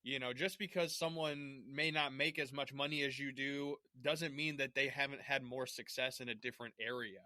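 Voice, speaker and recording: A man's voice: mid-range voice, steady tone, moderate pace, hardly any pauses, sharp tone, dry recording, studio quality. A man hosting a sales podcast, speaking in an earnest, affirming way as he interviews his guest. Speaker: podcast host.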